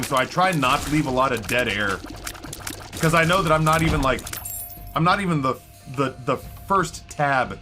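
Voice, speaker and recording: A middle-aged man streaming a video game, rambling on in casual, self-deprecating chat and never letting the talk stop. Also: mid-range voice. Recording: music playing clearly behind.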